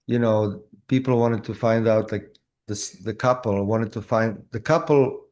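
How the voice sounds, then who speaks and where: Halting low-voiced man from Croatia. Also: a little mellow. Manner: halting, with frequent restarts and fillers. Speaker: filmmaker guest. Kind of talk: casual interview.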